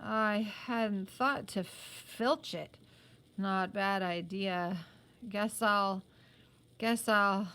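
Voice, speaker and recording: A woman's voice: mid-range voice, extremely slow, bright, forceful tone, clean tone. A woman voicing a bold teenage boy in a historical storybook, understated and plotting. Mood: groggy. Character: bold, scheming teenage boy in colonial Boston.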